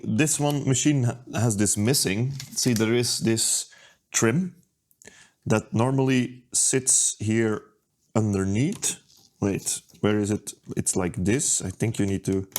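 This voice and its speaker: A man talking through a hands-on repair at his workbench, unhurried and thinking aloud with plenty of ums and uhs. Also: mid-range voice, a little mellow. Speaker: repair video host. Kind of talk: casual how-to narration.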